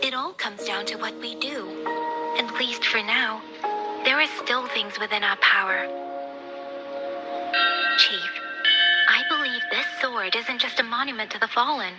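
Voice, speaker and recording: Woman acting as young video game heroine, slightly high voice, very slow pace, gentle, thoughtful, resolute. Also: lightly acted. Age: young adult. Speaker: traveling heroine character. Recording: game audio with loud music behind.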